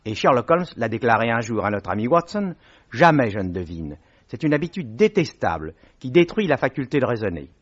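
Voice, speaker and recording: A man presents an old radio broadcast in a formal, measured way, explaining clearly. His pitch moves expressively. The sound is dull and lo-fi, like a vintage recording. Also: big pitch swings.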